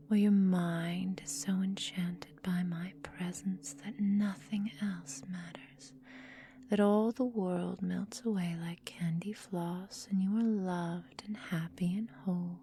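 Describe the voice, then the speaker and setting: A woman playing a possessive, cruel, seductive vampire mistress in a breathy whispered ASMR roleplay, with slow, teasing, menacing phrasing. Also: on the low side, dark tone, slight background noise.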